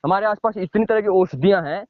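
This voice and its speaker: A man's voice: high voice, brisk. A man explaining things informally on a phone call, emphatic and repetitive, often checking in with short tag questions. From village in India. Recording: dull lo-fi phone call recording.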